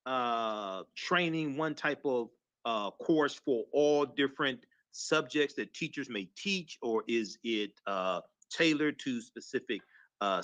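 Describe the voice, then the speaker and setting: A man asking questions conversationally as a panelist, in a halting way as he feels out his wording. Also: medium pitch, a bit bright, no echo.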